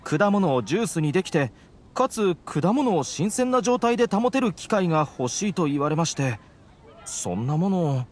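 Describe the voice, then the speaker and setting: High-voiced, earnest young alchemy assistant. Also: male voice, very animated, moderate pace. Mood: relieved, protesting. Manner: earnest, protesting. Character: exasperated, good-natured young male alchemy assistant. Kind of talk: anime game voice acting.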